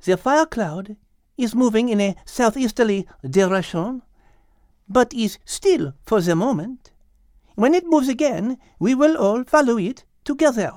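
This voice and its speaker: A man's voice: very high voice, animated, measured pace, a little mellow, no room sound, studio quality, voice pushed up. A man voicing a refined, knowledgeable female cat with a French accent and precise, formal wording, in an audiobook. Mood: composed, reasoning. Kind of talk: audiobook character acting.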